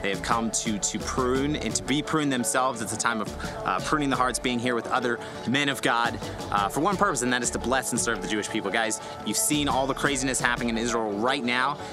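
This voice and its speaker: A man's voice: medium pitch, fairly quick, music in the background. Young man hosting an outdoor vlog. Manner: energetic, addresses viewers directly. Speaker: host. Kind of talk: upbeat vlog presenting to camera. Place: vineyard outdoors. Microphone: clip-on lapel mic.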